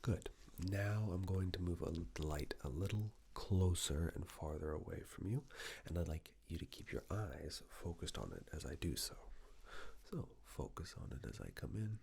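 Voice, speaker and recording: A man speaking in a breathy, husky whisper and soft low voice, giving soothing, measured instructions in a medical exam ASMR roleplay. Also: expressive, a little hiss or hum.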